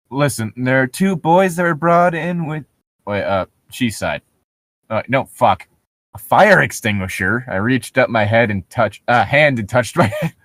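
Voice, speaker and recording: A man's voice: medium pitch, fairly bright tone, no echo, clean studio sound. A man reading aloud casually and jokingly, irreverent, sweary and giggly, stumbling over the text as he banters. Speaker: co-host of a creepypasta read-along.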